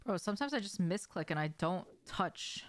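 A young woman with a slightly low voice talks casually as she streams a game from a room at home. She speaks in short, slangy reactions, as in an informal chat with viewers. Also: animated, moderate pace. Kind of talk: casual livestream chat while gaming. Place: small indoor room at home.

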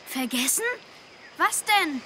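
A woman voicing a small, chirpy, excitable character in a children's audio drama. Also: sits high, takes it slowly, slight background noise, heavily acted. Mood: excited.

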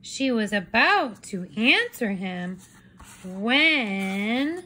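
Slow, high-voiced woman reading a storybook. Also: very animated, pushed and bright. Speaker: teacher reading aloud to children. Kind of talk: expressive storybook narration.

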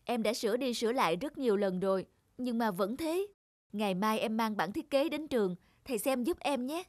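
A woman's voice: fairly high pitch, lively intonation, fairly quick, dry recording. A woman voicing an earnest, polite young male architecture student in a cartoon moral tale, with neat enunciation. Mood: troubled, polite. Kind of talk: animated moral tale dubbing.

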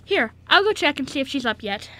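A woman's voice: high voice, animated, a little fast, bright, forceful tone. A woman playing a kindly orphanage worker in her early thirties in an amateur radio play, speaking with friendly encouragement. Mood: friendly, fond. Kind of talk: amateur radio drama script reading.

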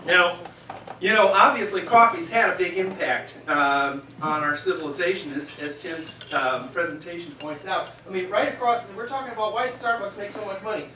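Rambling man with a bright voice in a restaurant. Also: high-pitched, normal speed, recorded from a distance, a little hiss or hum. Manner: rambling, chatty, many fillers and asides. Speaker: meeting moderator. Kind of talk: off-the-cuff rebuttal speech. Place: restaurant meeting room. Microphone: handheld mic set down, voice carrying from a distance. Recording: echoey restaurant room, picked up from afar.

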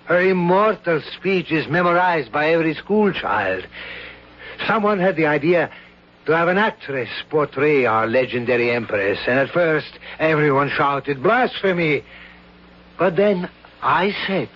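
An actor playing a formal, polished foreign grand duke in a 1970s radio drama, with ceremonious phrasing, on a dull vintage broadcast recording. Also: male voice, fairly high pitch, expressive, a little slow.

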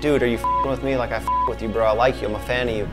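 A man's voice: medium pitch, fairly even intonation, racing, over loud music. A man in his thirties hosting in a barbershop, teasing his friends in brash, profane banter while he cuts hair. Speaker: barber and host. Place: barbershop set with brick walls.

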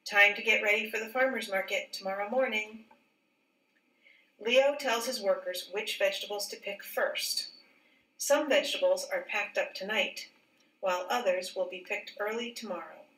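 Bright-voiced woman reading slowly in echoey room. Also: mid-range voice. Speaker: teacher reading a picture book aloud. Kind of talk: read-aloud storybook narration. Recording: roomy echo, distant mic.

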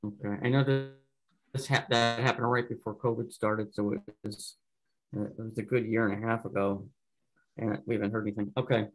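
A man chairing a town board meeting on a video call, relaxed and asking follow-up questions. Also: on the low side, brisk, soft background music, low-quality recording. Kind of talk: casual meeting discussion.

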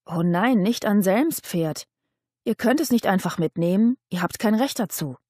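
A woman's voice: medium pitch, expressive, moderate pace, dark tone, husky, no echo, clean studio sound. Audiobook figure: feisty young woman, scornful and outspoken, voiced by a female reader. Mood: indignant. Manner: blunt, scornful. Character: feisty, sharp-tongued young woman, indignant.

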